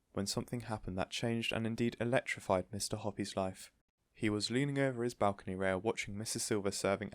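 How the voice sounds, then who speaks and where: A man's voice: on the low side, no room sound. A man reading a children's story aloud as scripted audiobook narration. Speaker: audiobook reader.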